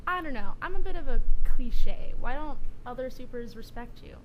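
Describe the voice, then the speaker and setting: A woman's voice: high voice, lively intonation, normal speed, bright, forceful tone, slight background noise, low-quality recording. A woman playing an idealistic, supportive young male superhero in an audio drama, earnest and encouraging. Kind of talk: naturalistic audio drama dialogue.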